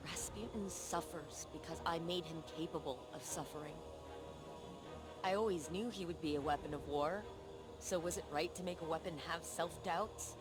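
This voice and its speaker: An actress playing an engineer in a video game, reflective and full of self-doubt. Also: female voice, lowish voice, normal speed, natural voice, rough recording. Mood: troubled. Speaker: engineer who rebuilt the AI. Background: loud game music.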